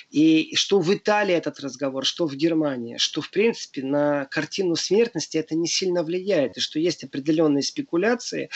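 A man's voice: fairly high pitch, creaky, husky. A man speaking as a guest on a radio talk show, earnestly explaining his views and hesitating often with filler sounds.

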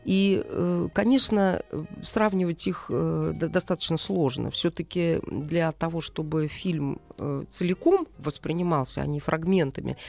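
A woman gives an explanatory interview as a film director, with music in the recording. She explains in an animated way, reaching for simple everyday examples. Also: low voice, gravelly.

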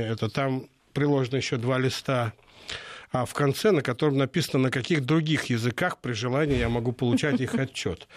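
A man's voice: mid-range voice, no echo, studio quality. A middle-aged man giving interview answers, talking with hesitations, frequent fillers and restarts. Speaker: interview guest.

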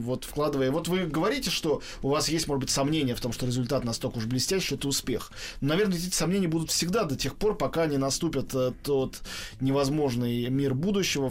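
A man hosting a broadcast interview, wordy, thinking aloud in asides as he builds long questions. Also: medium pitch. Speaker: interviewer, programme host.

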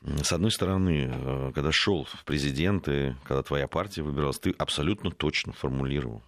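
A man's voice: rumbling low, fairly quick, no room sound. A man co-hosting a political studio discussion, arguing his case and pushing his voice up to stress his points. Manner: argumentative, rising to stress points. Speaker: political talk show co-host.

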